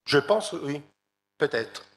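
A man's voice: fairly high pitch, expressive, slow. A man in his fifties with an Italian accent, answering questions hesitantly as he searches for words into a table microphone. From Italy. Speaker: author, guest speaker. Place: seated at a table in a meeting room. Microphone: table gooseneck microphone.

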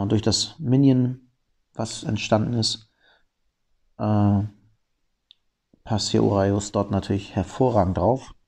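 A man with a cold gives gameplay commentary, calmly explaining each move in detail with frequent fillers. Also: on the low side, vocal fry. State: has a cold.

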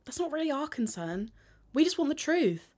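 A woman's voice: sits high, big pitch swings, moderate pace, a little mellow. A woman playing a determined, truth-seeking podcaster investigating a case in a conversational audio drama.

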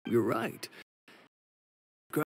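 A man playing an older, formal and apologetic gentleman supplier with a husky voice in a video game. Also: drawn-out words, dry recording, low-quality recording.

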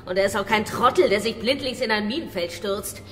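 A woman playing a fierce, distrustful fighter in a radio drama, sharp and defiant. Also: medium pitch, fairly quick, a little hiss or hum, lightly acted. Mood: urgent. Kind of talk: German radio drama acting. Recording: long reverberant hall.

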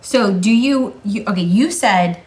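A woman's voice: mid-range voice, measured pace, darkish tone. A woman hosting a casual sit-down interview, reading audience questions and asking follow-ups. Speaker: host. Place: bright living-room studio set.

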